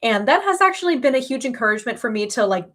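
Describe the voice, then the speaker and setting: A woman's voice: a little high, big pitch swings, quick pace, a bit bright. A woman in her early thirties chatting casually as a livestream host, with an emphatic, gushing way of talking. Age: early 30s.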